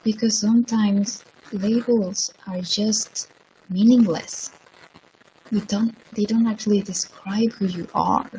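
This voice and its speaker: Slow, gravelly sick woman from Colombia. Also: medium pitch, steady tone, warm tone, a little hiss or hum, low-quality recording. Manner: slow, reflective, with many ums. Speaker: motivational speaker. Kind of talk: livestream monologue.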